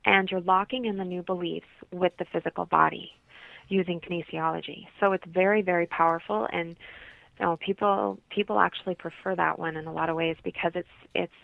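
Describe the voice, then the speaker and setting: A woman's voice: fairly low pitch, fairly even intonation, fairly quick, creaky, no room sound. A woman interview guest explaining her holistic practice conversationally over a thin, phone-like line.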